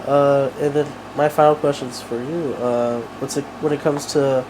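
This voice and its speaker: A man conducting a relaxed interview at a mid pitch, with filler words throughout. An air-conditioning hum runs clearly under his voice. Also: moderate pace. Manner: relaxed, with lots of "like" and "y'all". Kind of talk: casual interview questions.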